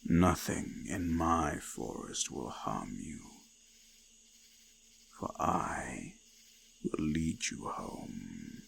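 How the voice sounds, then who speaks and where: A man playing an ancient eldritch forest god, menacing yet seductive, speaking in slow archaic phrases with hushed, breathy whispers. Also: rumbling low, big pitch swings, slight background noise, full character voice. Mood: gentle, coaxing. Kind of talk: scripted roleplay audio, slow dramatic monologue.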